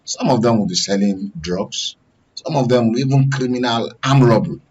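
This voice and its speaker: A man's voice: mid-range voice, normal speed, darkish tone, slightly rough. A man with a Nigerian accent giving current affairs analysis as a studio guest, explaining by posing questions and answering them. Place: radio and TV studio. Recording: dull, lo-fi broadcast sound.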